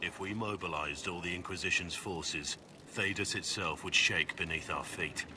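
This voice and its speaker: An actor voicing a brooding, guilt-ridden middle-aged warrior in a video game, speaking gravely and formally with halting earnestness. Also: male voice, low voice, sharp tone, slight background noise. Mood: grateful, earnest. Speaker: video game companion character. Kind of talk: dramatic video game dialogue.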